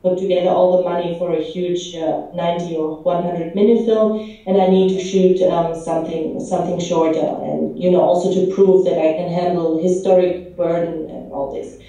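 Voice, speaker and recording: Romanian-born woman talking in a pub, lots of ums, very dark mellow voice, sounds far from the mic. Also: lowish voice, flat delivery, moderate pace. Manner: hesitant, many ums and restarts. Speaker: film director. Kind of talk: interview answers. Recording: echoey pub room, voice from far off, dull sound.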